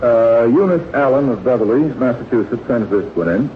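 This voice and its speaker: A man's voice: mid-range voice, expressive, a little fast. Witty man hosting an old radio quiz. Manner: witty, urbane, teasing. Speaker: quiz show host. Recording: muffled, noisy 1940s radio recording.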